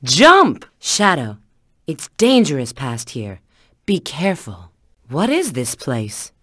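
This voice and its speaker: A woman's voice: low voice, wildly swooping pitch, slow, fairly bright tone, no room sound, clean studio sound, full character voice. A woman voicing a confident, teasing bat treasure hunter in a video game, coy and instructive. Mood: urgent, alert, then teasing, cautious. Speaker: video game character giving hints. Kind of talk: video game voice acting, tutorial prompts.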